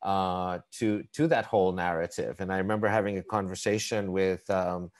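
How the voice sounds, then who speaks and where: A middle-aged man from Canada, born in East Africa, speaking thoughtfully over a video call with many halting repeats. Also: low-pitched, steady tone. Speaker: conversation partner. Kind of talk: reflective video-call conversation.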